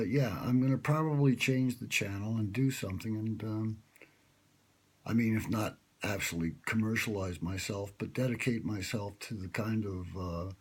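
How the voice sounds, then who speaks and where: A man's voice: on the low side, slightly rough. A man talking in a rambling, wry and self-mocking way, with many fillers, recorded close on a phone. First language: English. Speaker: vlogger pitching a radio show. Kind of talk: rambling late-night monologue. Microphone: smartphone held close.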